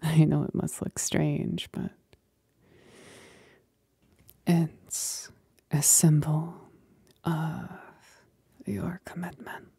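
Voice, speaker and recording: A woman's voice: low voice, flat delivery, slow, dark tone, dry recording, exaggerated voice. A woman voicing a possessive demon companion in a whispered ASMR roleplay, seductive and commanding, soothing yet domineering. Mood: possessive, solemn. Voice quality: breathy whisper.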